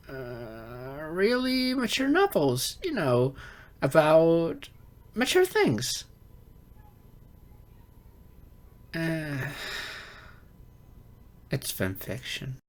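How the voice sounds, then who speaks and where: A man's voice: a little high, huge pitch swings, extremely slow, long pauses, heavily acted. A man voicing a geeky young silver fox kitsune in an ASMR roleplay, flustered, hesitant and earnest, with slightly formal wording. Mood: bashful, defensive. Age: young adult.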